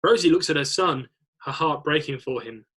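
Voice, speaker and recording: Bright, husky male screenplay narrator. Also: fairly high pitch, normal speed. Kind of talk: scripted reading of screenplay stage directions.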